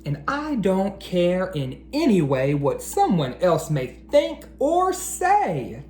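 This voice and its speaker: A man reading aloud to children like an educator, with an expressive, encouraging manner that speaks straight to them. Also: sits high, slow pace. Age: adult. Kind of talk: animated children's read-aloud. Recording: roomy echo, faint background noise.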